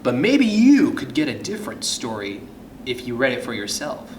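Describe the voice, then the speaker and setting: A man reading a children's story aloud as host, with suspenseful pauses and hushed drops in his delivery. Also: medium pitch, huge pitch swings. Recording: roomy echo, faint background noise.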